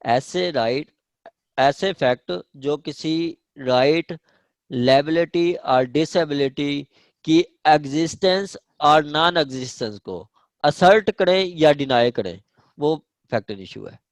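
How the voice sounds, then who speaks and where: A man's voice: on the high side, slow, dry recording, rough recording. A man with a Pakistani accent teaching law in an online class, repeating and rephrasing key points and checking understanding. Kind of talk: online lecture, explaining legal definitions. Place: online class over screen share.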